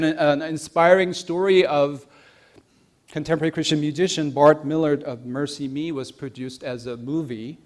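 A young man preaching a sermon, speaking deliberately in short phrases with emphatic stresses. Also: on the high side, darkish tone. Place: church sanctuary.

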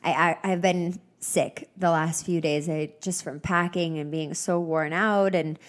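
A young woman talks casually on her own podcast, upbeat and rambling with lots of little fillers. Her voice sits a touch low and comes out slightly nasal and congested, as if she is getting over a cold. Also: fairly even intonation, a little slow. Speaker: podcast host. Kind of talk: casual solo podcast chat.

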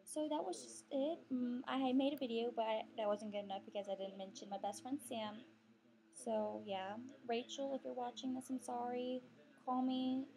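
Teen girl, Texan accent, rambling webcam vlogger; fairly high, mellow voice. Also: steady tone, moderate pace, creaky, low-quality recording. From Texas. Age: teens. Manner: rambling, chatty, many fillers and apologies. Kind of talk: casual webcam vlog. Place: living room couch indoors. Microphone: webcam on computer.

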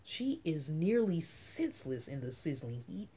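A middle-aged woman reading a children's picture book aloud as story time host, with dramatic, drawn-out emphasis. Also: deep voice, sing-song, dark tone, slightly rough. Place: small room at home.

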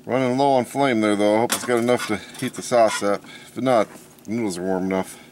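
A man's voice: on the low side, steady tone, a little fast. A middle-aged man casually demonstrating cooking outdoors, making offhand, folksy remarks as he works. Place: outdoors in a wooded backyard. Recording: outdoor camcorder with clear clattering background noise. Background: outdoor ambience, pots and cutlery clatter.

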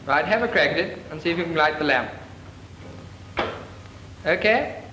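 An actor playing a brisk male naval flying instructor, giving clipped instructions in a 1940s training film. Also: on the high side, animated, close to an everyday voice. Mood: instructive. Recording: echoey, hissy 1940s film soundtrack.

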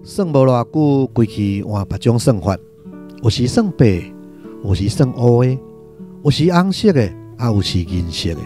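A man's voice: mid-range voice, sing-song, moderate pace, mellow, soft background music, lightly acted. A man with a Taiwanese accent narrating a literary radio drama from a script.

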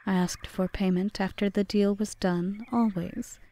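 A composed witch with a grievance , acted by a woman for an ASMR roleplay. Her voice is soft, dark and mid-pitched, often slipping toward a whisper. She lays out her terms slowly in measured, formal phrases. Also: steady tone, lightly acted. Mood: mocking, bitter. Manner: measured, formal, breathy half-whispered delivery. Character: cool, bargaining yandere witch, aggrieved yet composed. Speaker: audio roleplay performer.

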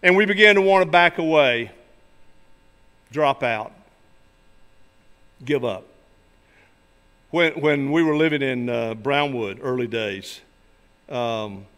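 An older man, a pastor delivering a sermon in an emphatic, deliberate way, speaking from a church pulpit. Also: mid-range voice, fairly bright tone. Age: older, 60s or 70s. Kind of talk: sermon with illustrations and scripture readings. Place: large church sanctuary, at a wooden pulpit. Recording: reverberant hall sound.

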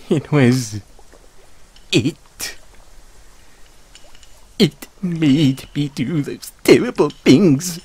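A man voicing a bitter, tormented frog mascot in an audio roleplay, with a halting, tearful, self-pitying delivery. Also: fairly high pitch, a little mellow, breathy edge, full character voice. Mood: anguished, defensive. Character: weepy, bitter, tormented frog mascot haunting an abandoned amusement park. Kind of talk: audio roleplay monologue with mournful sung counting rhyme.

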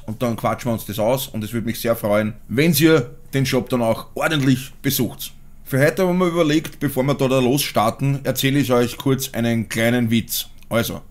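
A man in his forties with a Viennese accent, vlogging from a small home studio and then performing a spoken-word rap, blunt and crude. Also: medium pitch. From Austria. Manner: blunt, crude, laughs at his own jokes. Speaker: musician and vlogger.

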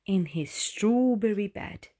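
Woman, children's read-aloud narrator, rhyming delivery, very unhurried, lively intonation, dramatic phrasing. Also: medium pitch. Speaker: storybook narrator.

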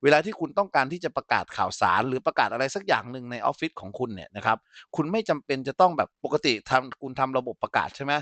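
A man's voice: medium pitch, big pitch swings, fast, a bit bright, no room sound. Man teaching an informal technical tutorial. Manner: explanatory, frequently checks that listeners follow. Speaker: instructor. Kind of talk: informal software tutorial with live demonstration.

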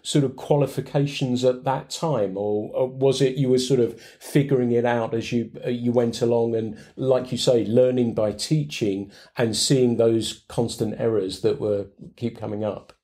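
Male interviewer, medium pitch, dark warm timbre, conversational podcast questioning. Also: fairly even intonation, normal speed, few pauses. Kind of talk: casual interview. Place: indoors in a tackle shop.

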